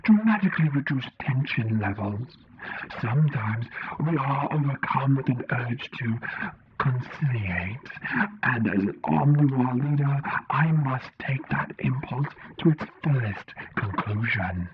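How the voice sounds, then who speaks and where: A man's voice: medium pitch, expressive, unhurried, muffled recording, noisy background. A man voicing a solemnly polite, tentacled alien creature who speaks in a stilted, formal, literal way in an audio story.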